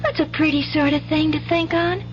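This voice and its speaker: An actress playing a dreamy, trusting, childlike teenage country girl in a 1970s radio drama, with soft, simple speech. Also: sits high, fairly even intonation, brisk, noisy background, close to an everyday voice. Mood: sleepy, affectionate. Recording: muffled old radio broadcast, music bed.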